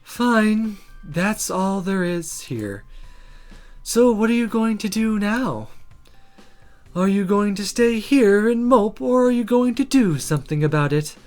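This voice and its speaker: A man's voice: sits high, lively intonation, slow, a little mellow, faint music behind, pitched above the performer's own voice. A man voicing a lewd, teasing, blunt female magical companion in a visual novel. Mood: blunt, prodding. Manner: playful, blunt, suggestive.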